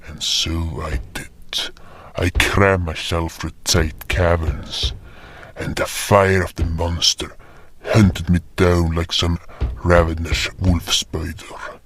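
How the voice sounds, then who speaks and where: A man playing a solemn hunter who narrates a fantasy tale of battle in a grave, breathy, deliberate way. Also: deep voice. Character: solemn hunter recounting a monster fight. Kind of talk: scripted dramatic fantasy story narration. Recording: music bed behind the voice.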